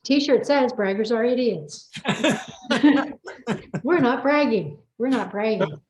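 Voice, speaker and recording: A woman's voice: on the high side, expressive, slow, a little mellow, a little hiss or hum. A woman chatting casually and playfully as she hosts a personal chat and asks questions, heard in a roomy, slightly dull recording. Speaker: co-author hosting a personal chat.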